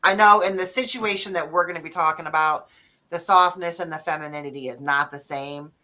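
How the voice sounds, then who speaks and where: A young woman with a deeper voice, co-hosting a relaxed, chatty talk show with lots of fillers. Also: a little fast. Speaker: co-host. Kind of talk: casual chat.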